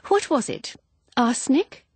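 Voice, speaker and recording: A woman's voice: sits high, animated, unhurried, a little mellow, slightly rough, no room sound, pitched above the performer's own voice, exaggerated voice. A woman playing an eager gossiping housewife. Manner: eager, breathless, prying. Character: excitable gossiping plump middle-aged woman.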